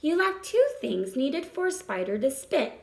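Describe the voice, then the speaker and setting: Wise female spider, read by a woman, high-pitched. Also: sing-song, normal speed, gravelly, full character voice. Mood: consoling, matter-of-fact. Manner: patient, instructive. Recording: roomy echo.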